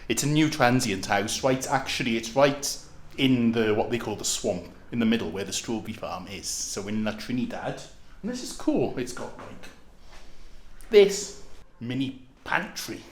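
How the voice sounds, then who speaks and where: A man's voice: mid-range voice, very animated, normal speed. A middle-aged man from Wales with a Welsh accent, talking casually and rambling as he films his travels. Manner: chatty, rambling, self-deprecating. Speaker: travel vlogger. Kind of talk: casual vlog narration. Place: indoor room in a guest house. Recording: echoey with background noise.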